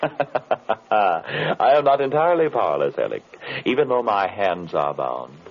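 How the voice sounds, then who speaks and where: An actor playing a clever, composed mystic hero in an old radio drama, formal and cryptic. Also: male voice, fairly low pitch, measured pace. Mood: wry, confident. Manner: calm, formal, enigmatic. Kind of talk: 1940s radio drama acting. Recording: dull, faintly noisy old radio recording.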